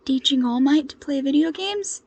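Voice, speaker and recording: A woman's voice: high-pitched, darkish tone, voice pushed up. Woman as young male hero intern, awestruck, flustered, hesitant, tentative, audio story character. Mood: nervous, awestruck. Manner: halting, stammering, tentative.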